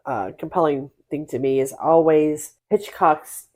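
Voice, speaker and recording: A woman's voice: sits low, measured pace, a little mellow. A woman co-hosting a podcast, talking casually in discussion. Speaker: podcast co-host.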